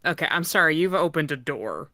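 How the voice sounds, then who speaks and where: A woman chatting casually on a stream, expressive and playful, laughing easily. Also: deep voice, a little fast. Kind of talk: casual group chat on a stream.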